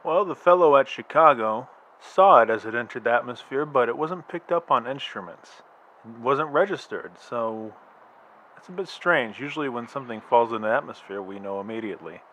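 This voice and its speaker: A man playing a calm, explaining astronomer. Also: mid-range voice, highs cut off, close to an everyday voice. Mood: reassuring. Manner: measured, explanatory, slightly hesitant. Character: calm, reassuring male astronomer.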